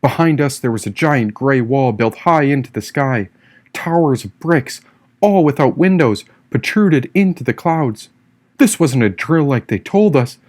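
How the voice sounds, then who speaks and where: A man reading a first-person story as an audiobook narrator, expressive and theatrical in his delivery. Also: fairly high pitch. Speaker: author reading own audiobook.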